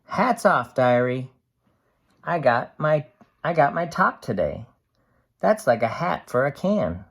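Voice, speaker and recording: A reader voicing a cheerful, excitable aluminum can in a storybook diary, bubbly and expressive. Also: mid-range voice, takes it slowly, vocal fry, breathy edge, full character voice. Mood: gleeful. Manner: bubbly, wide-eyed, expressive storybook delivery.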